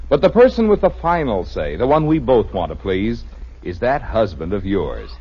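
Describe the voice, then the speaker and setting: Husky ad man, old time radio commercial, really animated, kinda muffled recording. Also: mid-range voice. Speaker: commercial announcer. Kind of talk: 1950s radio advertising pitch.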